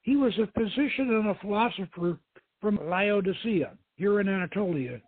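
A man's voice: high voice, expressive, normal speed, slight background noise. A man voicing a learned, earnest lady archaeologist in an adventure storybook, over a thin phone-like line. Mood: assured, scholarly. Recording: thin phone-like radio line, roomy echo.

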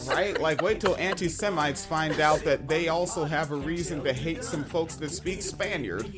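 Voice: medium pitch, moderate pace, over music. A podcast co-host who talks sarcastically and mocks pundits, running a soundboard. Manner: sarcastic, mocking, plays soundboard clips. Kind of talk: casual podcast banter.

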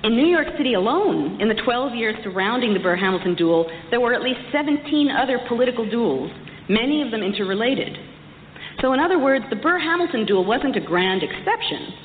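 A woman's voice: a little high, animated, a little fast. A woman giving a prepared history lecture, speaking articulately and emphatically with a lightly wry touch. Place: large reverberant hall. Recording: echoey hall, muffled, some background noise.

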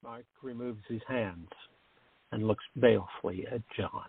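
A man's voice: fairly low pitch, measured pace, creaky, breathy edge, no echo. A man narrating a scripted radio play, reading scene descriptions, with a tinny, narrow sound.

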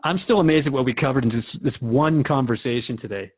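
A man's voice: medium pitch, quick pace, husky, phone-quality sound, lightly acted. A man playing a curious, respectful radio host in a radio drama, interviewing in an inquisitive, conversational way. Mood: curious, earnest.

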